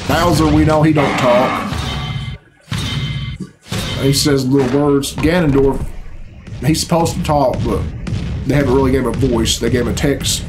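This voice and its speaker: A man's voice: medium pitch, steady tone, moderate pace, long pauses. A man in his thirties giving casual reaction commentary in a blunt, sarcastic, profane way. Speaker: reaction video host. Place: small bedroom at home, indoors. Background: game cutscene music.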